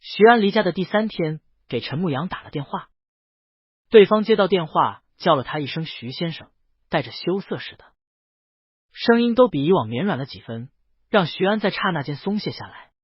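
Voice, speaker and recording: A man's voice: high-pitched, big pitch swings, normal speed, slightly rough, dry recording, highs cut off. A man reads a scripted story as an audiobook narrator, voicing the dialogue himself.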